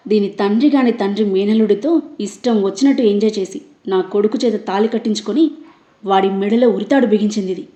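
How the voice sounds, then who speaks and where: A woman's voice: mid-range voice, fairly even intonation, fast talker, mellow, reverberant, lightly acted. A woman voicing a harsh, accusing middle-aged mother-in-law who hurls insults. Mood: scornful, furious.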